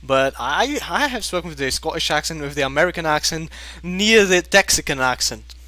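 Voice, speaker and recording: Bright, higher-voiced man chatting on a podcast. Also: animated, brisk, dry recording. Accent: non-native English with British leanings. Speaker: podcast host and English teacher. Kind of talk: casual podcast chat with anecdotes.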